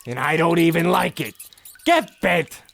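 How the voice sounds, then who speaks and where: Someone doing a stuffy rich husband, gravelly n slow, blustery, radio play melodrama. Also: medium pitch, big pitch swings, soft background music, exaggerated voice. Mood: indignant. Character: pompous, easily offended husband, a wealthy man. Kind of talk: comedic horror radio play acting.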